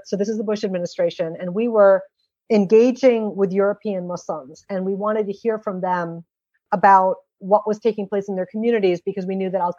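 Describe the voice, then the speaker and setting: An American woman answers as an interview guest, explaining things with emphasis and rhetorical questions. Her pitch is average, and the sound is close and dry with a slightly muffled top end. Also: a little mellow, dry recording. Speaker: interview guest, former government policy expert. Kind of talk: conversational interview answers with anecdotes.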